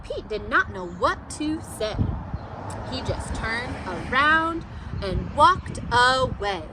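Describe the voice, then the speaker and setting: A woman's voice: high-pitched, very animated, slow pace, noisy background. A woman schoolteacher giving a read-aloud lesson to young children in an encouraging, questioning manner. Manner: encouraging, asks questions and answers them.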